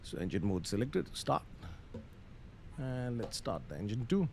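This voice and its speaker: A man with a creaky, gravelly voice commentates casually on a live stream. He mutters through procedures with some hesitation and drops into radio phraseology. Faint noise sits behind him, and his pitch is average. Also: big pitch swings, a little slow, a little mellow. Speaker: flight simulator live streamer.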